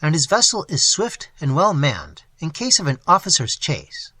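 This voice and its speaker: Gallant highwayman leader, clipped and in command, read by a man. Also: sing-song, moderate pace, pushed and bright, no room sound, exaggerated voice. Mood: brisk. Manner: crisp and commanding. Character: bold gentleman highwayman captain, commanding.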